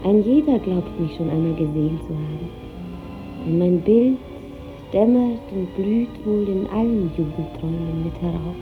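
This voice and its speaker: An actress playing a mysterious, beautiful enchantress lady who speaks slowly and absent-mindedly in a 1950s German radio drama. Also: lowish voice, natural voice. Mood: dreamy, distracted. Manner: slow, distracted, dreamy. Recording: 1950s radio recording, thin telephone-like band, music.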